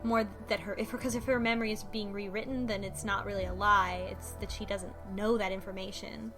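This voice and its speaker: A woman's voice: medium pitch, fairly even intonation, brisk, over music. Chatty woman recapping a story. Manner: thoughtful, recaps plot with many fillers. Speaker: podcast co-host. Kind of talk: casual discussion of a visual novel.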